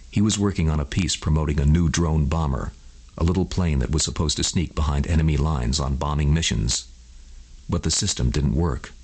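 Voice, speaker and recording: A man with a husky voice narrating a scripted bedtime story for adults. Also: a bass voice, a little mellow.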